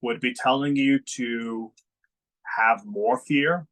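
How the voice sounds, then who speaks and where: A man giving an unscripted spiritual talk as a teacher, slow and reflective, often putting questions to his listeners. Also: mid-range voice, a bit bright.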